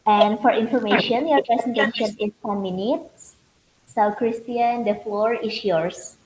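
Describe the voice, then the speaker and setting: A woman moderates a student webinar in a polite, formal tone. Her voice is fairly high, and the room adds a clear echo. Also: fairly even intonation, unhurried, a little hiss or hum. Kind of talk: scripted moderation.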